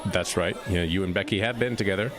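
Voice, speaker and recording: A man playing a brisk, businesslike high school teacher in a radio play. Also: lightly acted. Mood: probing, businesslike. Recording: busy crowd noise behind.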